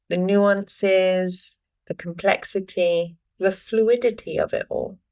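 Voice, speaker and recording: Slow-paced woman guiding a meditation. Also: mid-range voice. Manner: unhurried, pausing between short phrases. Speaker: meditation guide. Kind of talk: guided meditation, gentle spoken instructions.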